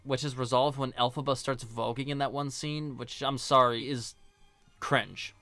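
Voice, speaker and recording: A man's voice: medium pitch, fairly even intonation, moderate pace, sharp tone, dry recording, soft background music. A man giving scripted video-essay commentary in a sarcastic, informal, joking manner. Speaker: video reviewer and host.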